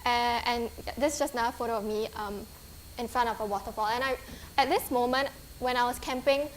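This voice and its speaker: A woman's voice: a little high, steady tone, fairly quick, a little hiss or hum, low-quality recording. A woman in her twenties with a Singaporean English accent, giving a friendly, earnest conference talk with frequent ums and restarts. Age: 20s. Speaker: guest speaker. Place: lecture hall.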